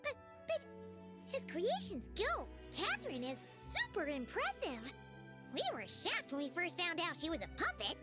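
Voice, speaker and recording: Squeaky, excitable little fairy companion. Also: female voice, normal speed, exaggerated voice. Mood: protesting, impressed. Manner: exclamatory, inquisitive, naive. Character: small, childlike, excitable high-voiced fairy companion. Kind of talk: video game voice acting. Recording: game music under the voice. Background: game music.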